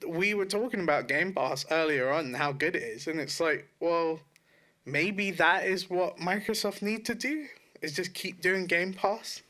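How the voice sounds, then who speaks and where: A man with a fairly high, very bright voice talks in a casual podcast style. He is informal and loose-tongued, often rephrasing himself as he makes his point. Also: measured pace. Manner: informal, sweary, self-correcting. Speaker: podcast co-host. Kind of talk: casual opinionated gaming podcast chat.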